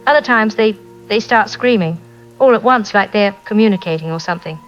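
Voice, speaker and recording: Over a dull phone line with rain and music behind, an actress plays a trapped woman survivor in an audio drama. She sounds fearful and worn out, speaking in halting fragments. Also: medium pitch. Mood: frightened, weary. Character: frightened, exhausted woman survivor trapped by zombies.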